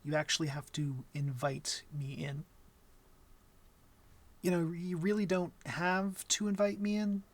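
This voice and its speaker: A young man playing an awkward, gentle vampire in an intimate ASMR roleplay, talking softly and hesitantly with a wry streak. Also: a little high, steady tone, unhurried, pauses often. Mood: nervous, relieved. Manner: hesitant, soft-spoken, wry.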